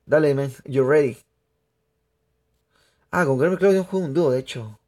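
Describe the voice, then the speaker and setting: A young man from Peru, chatting excitably to viewers through live gameplay commentary. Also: fairly high pitch, a little fast. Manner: chatty, excitable, laughs often, addresses viewers. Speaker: streamer.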